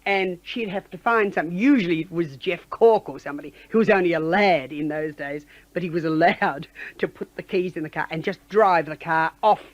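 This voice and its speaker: An Australian woman chats through old memories in a casual, anecdotal way. Her voice sits fairly low, and the recording is dry and a little muffled. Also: moderate pace, hardly any pauses, dry recording. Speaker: station colleague being interviewed. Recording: dull band-limited interview recording.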